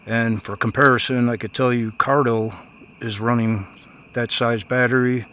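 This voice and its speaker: Male reviewer, middle-pitched voice, plainspoken, folksy, casual off-the-cuff delivery, clear background noise with insect chirps. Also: fairly even intonation, moderate pace, pushed and bright. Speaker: product reviewer. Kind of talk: casual unscripted product review.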